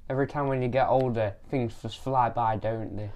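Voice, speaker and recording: A man's voice: mid-range voice, fairly even intonation, a little fast, darkish tone. A boy, chatting hesitantly and agreeably with his dad on a podcast. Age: child. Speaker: podcast co-host, son. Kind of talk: casual chat.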